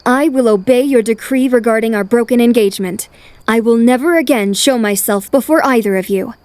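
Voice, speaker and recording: A woman voicing a poised, self-assured young noblewoman in an anime fan dub, formal, calm and resolute. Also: on the high side, moderate pace, no room sound. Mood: calm resolve.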